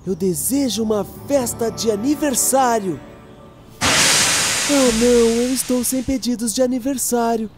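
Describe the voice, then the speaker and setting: A woman's voice: a little high, a little slow, over music, close to an everyday voice. A woman with a Brazilian accent voicing a cheerful, helpful young boy steam engine in a children's cartoon dub, eager and friendly. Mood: disappointed.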